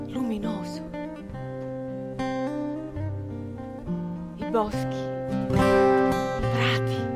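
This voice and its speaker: An actress playing a nostalgic nineteenth-century peasant brigand woman in a halting, hushed radio monologue, with a breathy, husky voice. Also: on the high side, natural voice. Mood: wistful, tender. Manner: halting, hushed, repeating phrases. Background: plucked guitar music.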